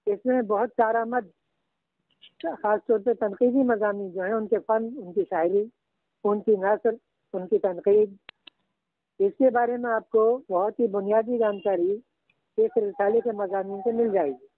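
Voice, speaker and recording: An older man teaching Urdu literature, slowly and patiently dictating references to a student. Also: high voice, dry recording. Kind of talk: guiding a student over the phone. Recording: tinny phone line.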